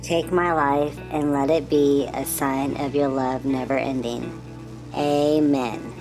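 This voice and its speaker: A woman's voice: extremely low pitch, fairly even intonation, slow, over music, full character voice, rough recording. A woman voicing a friendly, eager young male puppet on a children's show, speaking simply and earnestly. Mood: gentle, earnest. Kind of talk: children's show dialogue, scripted.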